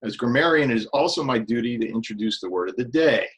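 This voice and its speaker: A man acting as grammarian at a club meeting on a video call, speaking in a formal, deliberate way. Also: mid-range voice, animated. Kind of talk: explaining a meeting role.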